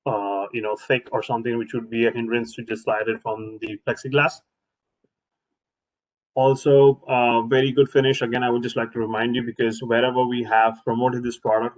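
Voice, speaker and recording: A man's voice: mid-range voice, low-quality recording. A man presenting an informal product demonstration as a trainer, speaking hesitantly and often repeating himself.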